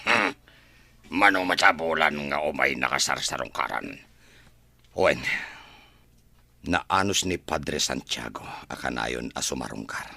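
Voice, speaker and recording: Very deep, gravelly, brooding Ilocano prisoner played by an actor. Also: male voice, animated, unhurried, pauses often, bright, forceful tone, dry recording, faint music behind, full character voice. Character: bitter, brooding male prisoner. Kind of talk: Ilocano radio drama acting.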